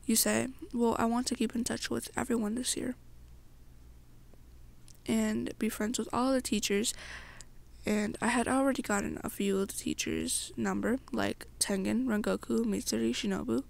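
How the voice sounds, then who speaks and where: A young woman telling an ASMR roleplay story in the second person, her breathy voice sometimes dropping toward a whisper. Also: fairly high pitch, lively intonation, moderate pace.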